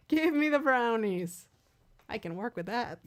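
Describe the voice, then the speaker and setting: A woman's voice: fairly high pitch, wildly swooping pitch, slow. A woman with a Mexican accent, a native Spanish speaker, chatting casually with viewers as the singer hosting a live stream, candid and full of restarts. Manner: chatty and candid, many restarts and repeated words.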